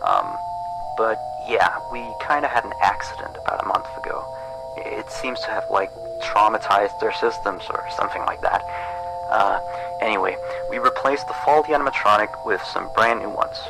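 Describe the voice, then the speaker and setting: A man's voice: lowish voice, moderate pace, creaky. A man playing an awkward, nervously reassuring employee leaving a rambling, stammering phone message in a horror game. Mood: awkward, uneasy reassurance. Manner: hesitant, stammering, rambling, downplaying. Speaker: night-shift phone guide in a horror game. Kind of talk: recorded phone message, acted as casual rambling. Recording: phone call over background music.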